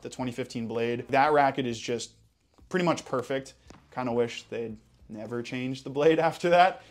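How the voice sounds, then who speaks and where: A young man with an American accent answers viewer questions in a relaxed vlog. He talks with easy enthusiasm, dropping in casual fillers along the way. His voice sits at an ordinary middle pitch. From Hawaii. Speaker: tennis channel host.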